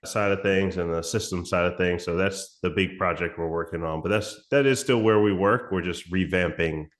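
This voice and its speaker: A man with a very low, raspy voice, hoarse from a recent cold. He explains things in a relaxed, conversational way, often restarting his sentences. He sounds like he is in his thirties. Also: normal speed. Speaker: music promotion agency founder. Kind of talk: casual interview chat.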